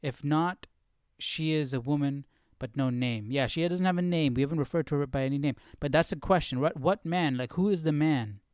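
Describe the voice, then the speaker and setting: Guy chatting on a livestream, slightly high clear voice, dry room, thinks out loud. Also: brisk, hardly any pauses, no echo. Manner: informal, musing aloud, repeats phrases while thinking. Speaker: host of a live Bible study stream. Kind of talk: casual livestream commentary.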